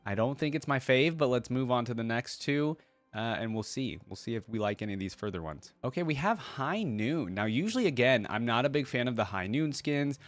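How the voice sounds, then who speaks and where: A man's voice: mid-range voice, expressive, brisk, dry recording. A man hosting a reaction video, giving casual, informal commentary in slangy fan talk addressed to his viewers.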